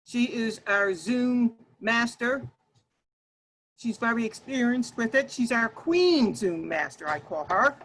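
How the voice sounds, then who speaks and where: High-voiced woman presenting, noisy call audio. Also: expressive, takes it slowly. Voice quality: loud, projecting voice. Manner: addresses listeners directly with rhetorical questions. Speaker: workshop leader and Toastmasters speaker. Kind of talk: workshop presentation to an online group. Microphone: video call.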